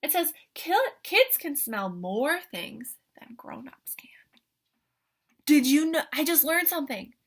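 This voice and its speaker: A woman reading a picture book aloud to young children like an excited teacher, with animated wonder, questions and asides in a breathy voice. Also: sits high, takes it slowly.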